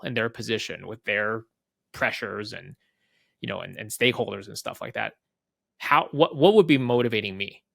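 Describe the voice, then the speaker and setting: A man speaking as an interview guest, explaining casually in halting phrases with repeated words and frequent checking tags. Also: medium pitch, vocal fry, fairly bright tone, no room sound, clean studio sound. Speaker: interview guest, head of product at a software company.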